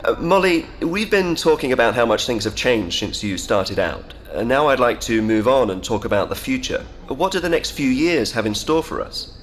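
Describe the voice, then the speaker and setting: Male tech-event host, acted for audio drama: medium pitch, polite, enthusiastic, slight background noise. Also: animated, moderate pace, close to an everyday voice. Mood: interested. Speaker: conference host and interviewer. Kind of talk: scripted stage moderation in audio drama.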